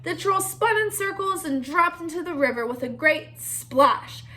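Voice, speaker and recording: A woman's voice: extremely high pitch, expressive, unhurried, sharp tone. A woman reading a storybook aloud to children in an interactive narrating style.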